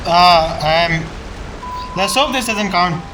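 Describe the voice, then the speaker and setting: A man's voice: high-pitched, slow, noisy background. A man streaming a video game, giving casual commentary in short, muttered reactions. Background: game music.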